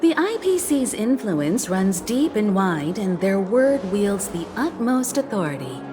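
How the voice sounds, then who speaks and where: A woman's voice: medium pitch, very animated, a little slow, background noise. A woman voicing a poised, persuasive navigator in a video game, speaking in a polished, diplomatic way. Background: game music.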